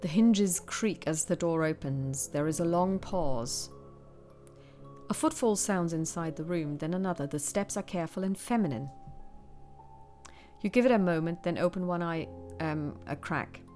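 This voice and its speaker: A woman's voice: on the low side, normal speed, dark tone, soft background music. A woman reading a solo horror adventure aloud and talking through her choices. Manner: reads passages steadily, then chats about her choices. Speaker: solo tabletop game player. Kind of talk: reading a gamebook aloud with asides.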